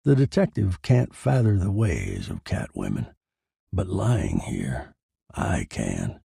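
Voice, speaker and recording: A man playing a murdered man who narrates his own noir horror tale, bitter, detached and deliberate. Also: deep voice, huge pitch swings, vocal fry, mellow, husky, no room sound, clean studio sound, voice pushed down, exaggerated voice. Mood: brooding. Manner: brooding, deliberate, dramatic. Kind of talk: scripted first-person noir horror narration.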